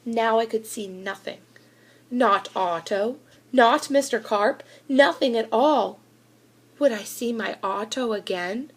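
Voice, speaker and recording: A woman reading a children's storybook aloud in an expressive narrating style. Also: fairly high pitch, slow pace.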